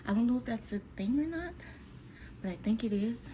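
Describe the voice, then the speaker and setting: A woman's voice: a little high, expressive, fairly quick, warm tone, a little hiss or hum, low-quality recording. A woman of about thirty chatting casually and candidly to her viewers in a confiding, conversational way, addressing them directly. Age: 29, almost 30. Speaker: vlogger speaking to her viewers. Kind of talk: casual, candid sit-down chat.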